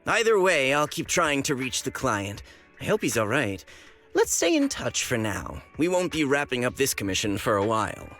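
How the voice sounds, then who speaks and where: An actor playing an excitable, friendly young male information broker in a video game, with an animated, informal delivery. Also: mid-range voice, normal speed. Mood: uneasy, concerned. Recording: music under the voice.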